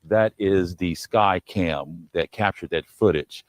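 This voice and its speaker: A man giving a live, unscripted television news report with halting restarts. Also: sits low, no echo.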